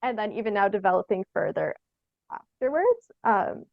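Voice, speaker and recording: A young woman from Canada, chatting casually in an interview as a theatre artist, haltingly thinking aloud with lots of "like". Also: mid-range voice, sing-song, vocal fry, no room sound, lo-fi sound. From Sault Ste. Marie, Canada. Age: young adult.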